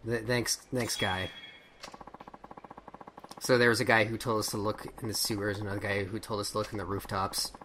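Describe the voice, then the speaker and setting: A man casually commentating on a video game he recorded, relaxed and rambling. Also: lowish voice, moderate pace, pauses often, slight background noise. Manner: relaxed, rambling, trails off and restarts. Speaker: let's play host.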